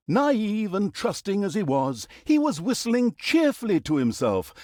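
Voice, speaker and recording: An actor playing a wry, mischievous storyteller in a fantasy game, narrating in a theatrical, mock-dramatic, sardonic manner. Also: male voice, on the high side, darkish tone, no echo, studio quality, full character voice. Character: wry, mischievous male storyteller mocking an evil fantasy saga.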